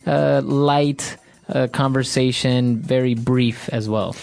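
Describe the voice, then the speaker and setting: A man's voice: medium pitch, steady tone, slow pace, creaky, a little mellow, dry recording. Ecuadorian man co-hosting a language lesson. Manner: agreeable, explanatory, frequent "that's right". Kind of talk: casual teaching chat.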